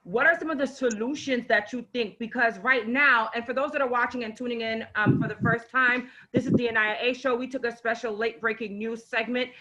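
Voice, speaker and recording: A woman's voice: on the high side, fairly even intonation, a little fast, few pauses, sharp tone. A woman hosting a live interview show, asking her guest questions earnestly and with feeling. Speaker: show host. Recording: dull lo-fi stream audio.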